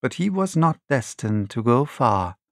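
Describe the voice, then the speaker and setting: A man narrating an adventure novel as an audiobook, reading in measured, dramatic short phrases. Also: mid-range voice, big pitch swings, no echo, studio quality. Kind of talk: scripted storybook narration.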